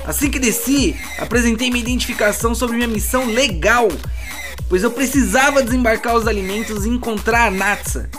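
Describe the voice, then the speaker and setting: A man with a Brazilian accent playing a flustered, foul-mouthed merchant stranded in wreckage, talking haltingly and agitatedly in an audio drama. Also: extremely high pitch. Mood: flustered, anxious. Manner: agitated, halting, swearing, muttering to himself. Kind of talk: audio drama distress message monologue. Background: music.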